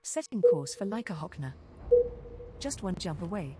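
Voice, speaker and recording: A woman voicing a female spaceship copilot computer, giving composed and clearly phrased status announcements in a game. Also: fairly low pitch, expressive, moderate pace, loud music behind the voice, natural voice. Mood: composed, informative. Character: female ship computer copilot, informative and cheeky.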